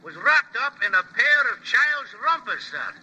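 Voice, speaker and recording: An actor playing an earnest, deferential police sergeant making a matter-of-fact report by telephone in an old-time radio drama. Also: male voice, extremely high pitch, big pitch swings, normal speed, pushed and bright, full character voice, rough recording. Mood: dutiful.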